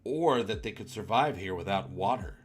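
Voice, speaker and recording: A man voicing a calm, practical starship officer in a science fiction read-along. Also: on the low side, moderate pace, voice pushed down, natural voice. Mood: serious, analytical.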